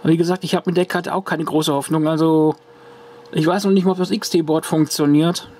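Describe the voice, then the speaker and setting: A man's voice: fairly high pitch, fairly even intonation, fairly quick, dark tone, slight background noise. A man casually showing a corroded circuit card to the camera at his home workbench, rambling with restarts and fillers. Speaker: retro computer hobbyist. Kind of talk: casual show-and-tell vlog.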